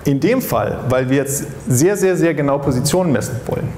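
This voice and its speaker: A man's voice: on the high side, expressive, a little mellow. Man lecturing in a roomy, noisy hall. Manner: halting, repeats and rephrases words as he explains. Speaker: astrophysicist giving a public lecture. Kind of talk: popular science lecture, explained off the cuff. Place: stage of a dark theatre with seated audience. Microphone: headset microphone. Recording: roomy stage sound with background noise.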